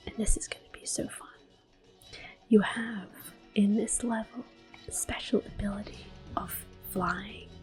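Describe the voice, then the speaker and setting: A woman doing ASMR gameplay commentary in a soft, breathy, gentle voice, mostly whispered. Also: medium pitch. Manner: gentle, unhurried. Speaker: game streamer.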